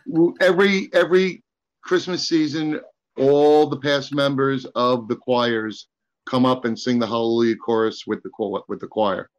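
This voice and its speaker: A man in his sixties, a guest on a comedy podcast, answering flatly and matter-of-factly. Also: medium pitch, normal speed, low-quality recording. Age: 60s. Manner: flat, matter-of-fact replies.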